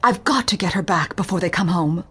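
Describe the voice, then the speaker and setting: An actress playing a timid, refined young woman client in a detective audio drama, speaking in a halting, pleading manner. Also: lowish voice, fairly bright tone, slightly rough. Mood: timid, hesitant.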